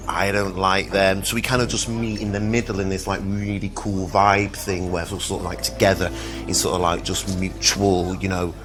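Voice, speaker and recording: A man's voice: low voice, lightly acted. A young man acting an awkward, breezily unbothered oversharer who rambles in a live-action game scene. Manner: rambling, repetitive filler-laden talk. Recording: music playing behind.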